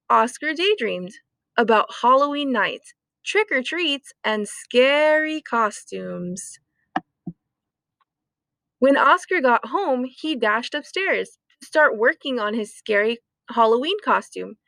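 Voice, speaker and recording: A woman reading a children's story aloud expressively, with deliberate dramatic emphasis. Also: sits high, sharp tone, dry recording. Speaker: storytime reader.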